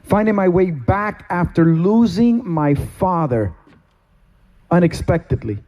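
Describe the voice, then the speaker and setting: Middle-aged man from Cuba preaching, mellow. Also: high-pitched, animated, slow pace. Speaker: pastor. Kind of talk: sermon testimony. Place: stage in a meeting hall.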